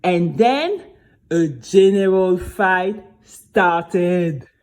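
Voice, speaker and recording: A woman's voice: fairly low pitch, drawn-out words. A woman playing a spirited young girl who recounts her adventure to friends in a chatty, dramatic first-person audio story. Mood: excited, dramatic. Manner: animated, chatty, addresses listeners directly.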